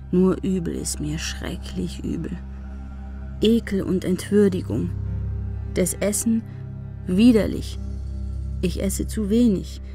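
A jailed female investigator in a radio drama. She is exhausted and despairing, yet holds herself together. Her mid-pitched voice moves in halting fragments over music. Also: mellow. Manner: halting, broken phrases. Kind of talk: radio drama acting, first-person monologue.

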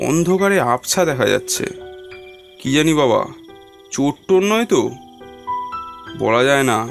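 An uneasy, curious government employee in a horror cartoon, voiced by a man. He speaks in a mid-pitched voice with lively, jittery swings, as if forever puzzled. Music runs clearly underneath. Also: close to an everyday voice. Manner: animated, talks to himself, stammers when startled. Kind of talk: horror cartoon voice acting and first-person narration.

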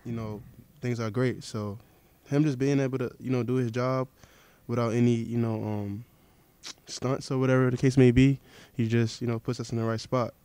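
Young male player, mellow smooth voice, flat delivery, soft-spoken, dry close mic. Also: medium pitch, moderate pace, dry recording. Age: young adult. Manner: soft-spoken, many fillers. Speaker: college basketball player. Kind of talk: postgame press conference answers. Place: press conference room. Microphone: table mic.

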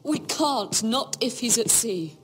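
Anguished castle noblewoman for a fantasy game; high, slow, pleading delivery. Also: expressive, faint music behind. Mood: worried. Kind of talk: dramatic video game acting.

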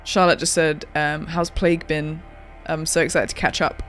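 A woman's voice: sits low, little pitch movement, moderate pace. A woman voice actor chatting casually through a game she appears in, joking and teasing herself as she plays. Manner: chatty, joking, self-mocking asides to viewers. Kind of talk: casual let's-play commentary. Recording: game audio with music behind her.

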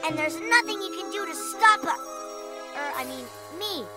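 An actor voicing a smug, sarcastic, scheming boy villain in a children's animated game. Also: very high-pitched, normal speed. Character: bratty boastful boy villain, scheming and sneering. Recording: loud music behind the voice. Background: loud music.

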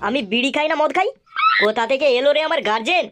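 A woman's voice: high voice, lively intonation, fast, loud music behind the voice. A woman voicing a touchy, hot-headed young slacker in a comedy cartoon, with a brash, scolding manner. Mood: angry. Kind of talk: animated comedy cartoon dialogue.

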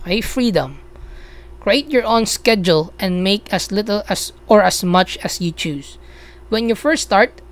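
A Filipino woman talks through an explainer video in a smooth, clear voice. Her pitch sits in the middle range. She keeps it casual, checking in with the listener and restating her points for emphasis. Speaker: video host. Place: small indoor room at a computer.

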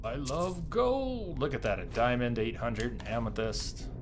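A man's voice: mid-range voice, lively intonation, unhurried, background noise. A man in his thirties giving casual live commentary as he streams a video game. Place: indoor streaming setup. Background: game music.

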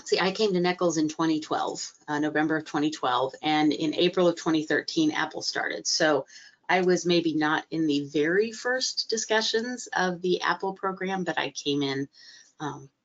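A low-pitched middle-aged woman talking over a video call, her voice a bit stuffy from a cold. She hesitates often, circling back with fillers and restarts. The sound is muffled and dull. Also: moderate pace. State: recovering from a cold, a little stuffy. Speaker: guest speaker, library trainer. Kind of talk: webinar talk.

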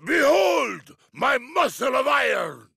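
A man playing a huge, boisterous, muscle-proud wrestler in a video game, bombastic and hearty with a gravelly voice. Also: very high voice, big pitch swings, slow, raised voice, heavy background noise, full character voice. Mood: booming, delighted.

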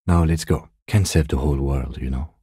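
An actor playing a composed, dry police detective in a video game, speaking in a measured, restrained, matter-of-fact way with a soft husky edge. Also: male voice, rumbling low, no room sound, heavily acted. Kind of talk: video game dialogue acting.

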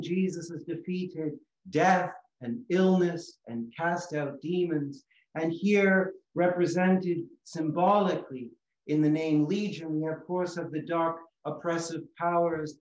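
Middle-aged priest preaching in an echoey room. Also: male voice, fairly high pitch, darkish tone. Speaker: Orthodox priest. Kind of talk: sermon. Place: small room chapel in a house, indoors. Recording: echoey room, slightly dull sound.

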